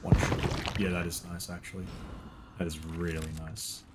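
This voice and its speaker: A man's voice: low voice, little pitch movement, normal speed, heavy background noise. A male streamer giving casual gameplay commentary, thinking aloud and muttering to himself.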